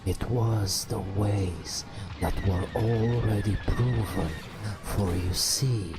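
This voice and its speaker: A man telling a bedtime story in a hushed ASMR style, in drawn-out phrases with long pauses. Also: on the low side, loud music behind the voice. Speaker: narrator. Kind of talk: whispered ASMR bedtime storytelling over game footage.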